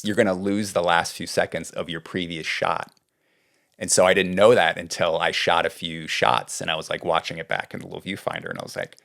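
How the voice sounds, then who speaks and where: A middle-aged man from California, a writing coach being interviewed, talking in a relaxed, rambling way. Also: deep voice, gravelly. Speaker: guest, script doctor and writing coach. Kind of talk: casual interview talk.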